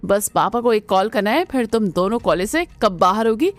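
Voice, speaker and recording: A woman's voice: medium pitch, animated, normal speed, dry recording, lightly acted. A woman voicing a protective, spirited young sister-in-law with bold, emphatic delivery in a cartoon family melodrama. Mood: threatening.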